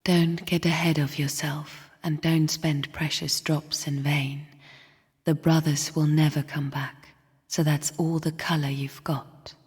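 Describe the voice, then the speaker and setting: Casting: scornful female game spirit, low husky whisper, slow cryptic delivery, long cavernous reverb. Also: flat delivery, exaggerated voice. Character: bleak, bitter female spirit sister of a dying void. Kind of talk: video game character monologue. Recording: long reverberant hall echo.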